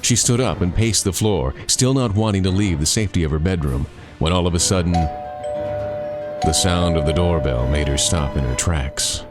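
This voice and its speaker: A man narrating a scripted scary campfire story, dramatic, with deliberate pauses. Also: sits low. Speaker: author and narrator.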